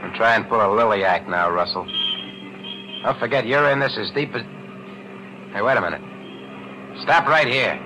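An actor playing a cocky young crook in a 1940s radio drama, brash and tough-talking. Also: male voice, fairly low pitch, normal speed, pauses often, noisy background, close to an everyday voice. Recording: vintage 1940s radio broadcast.

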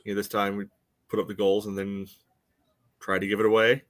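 An American man co-hosting a soccer podcast, talking casually and analytically in a rambling, halting way. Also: on the low side, monotone. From United States. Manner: rambling, hesitant, frequent "you know". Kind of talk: casual chat, match analysis.

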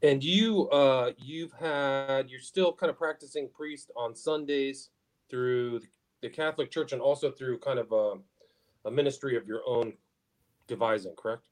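A man's voice: medium pitch, measured pace. A man hosting a podcast, introducing and questioning a guest in a casual interview style. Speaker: podcast host.

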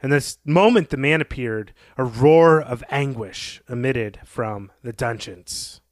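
Male podcast co-host, mid-pitched, recapping fiction with commentary, very dry close sound. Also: slow, no room sound.

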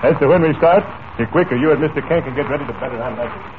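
A man's voice: a little high, racing, close to an everyday voice. Man playing hearty rancher on tinny old radio. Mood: hearty, reassuring. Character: Western rancher, proud, hearty, fond of old ways. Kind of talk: 1940s radio acting. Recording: scratchy, tinny 1940s radio recording.

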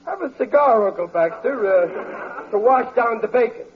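A grumbling blue-collar dad in an old radio comedy. His voice is high for a man, and he is sarcastic and folksy. The sound is very muffled, like a vintage broadcast. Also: expressive, normal speed, a crowd in the background. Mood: eager. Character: grumbling, sarcastic working-class family man and father. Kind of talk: 1940s radio comedy acting.

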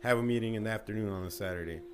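A man's voice: fairly low pitch, very fast. A man hosting a casual podcast chat, joking around and swearing easily. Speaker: podcast host.

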